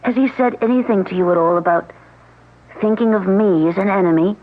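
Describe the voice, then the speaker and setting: An actress playing a recently widowed woman, hesitant and soft-spoken, in a 1970s radio drama. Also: mid-range voice, fairly quick, lightly acted. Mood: anxious. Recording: narrow-band old radio broadcast.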